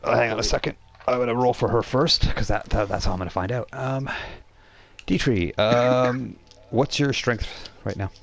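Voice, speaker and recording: A man's voice: medium pitch, normal speed, vocal fry, faint music behind. A man running a tabletop game as game master, improvising narration and explaining rules in an informal way.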